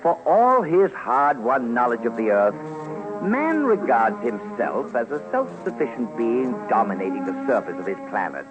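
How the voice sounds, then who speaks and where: Very animated vintage radio commentator, male. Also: mid-range voice, moderate pace. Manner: theatrical, playful, direct address to listeners. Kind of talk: dramatic scripted radio documentary narration. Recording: dull vintage radio, loud music behind.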